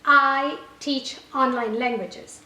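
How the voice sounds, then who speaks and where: A woman's voice: high-pitched, steady tone, slow pace, bright, forceful tone. A woman whose first language is Gujarati, an online language teacher and business owner, giving casual advice to camera and correcting herself often. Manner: conversational, frequent "you know" and self-corrections. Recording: roomy echo, a little far from the mic.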